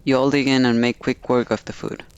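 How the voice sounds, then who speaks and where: A man's voice: medium pitch, little pitch movement, fairly quick, lo-fi sound, a little hiss or hum. A man streaming a video game, reading the dialogue aloud and commenting on the story as he goes.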